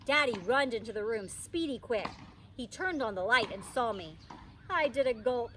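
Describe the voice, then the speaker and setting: A woman teacher reading a children's storybook aloud in an expressive, childlike first-person narration. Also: fairly high pitch, normal speed. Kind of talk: animated storybook read-aloud. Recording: distant microphone, faint background noise.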